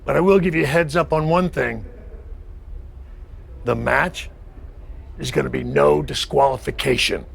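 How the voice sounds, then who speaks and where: An actor playing a domineering male wrestling faction leader in a video game, speaking in a slow, measured, condescending way. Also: fairly high pitch, gravelly. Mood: menacing.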